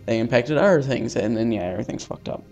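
A man playing a visual novel, reading its text aloud haltingly with casual, sweary commentary. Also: medium pitch, animated, a little mellow. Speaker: let's-player. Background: faint game music.

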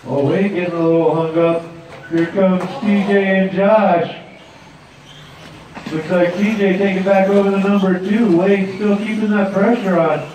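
High-voiced man calling a race. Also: measured pace, heavy reverb, far from the mic, a little hiss or hum, rough recording. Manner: steady play-by-play calling. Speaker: race announcer. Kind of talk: live race commentary. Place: outdoor dirt track.

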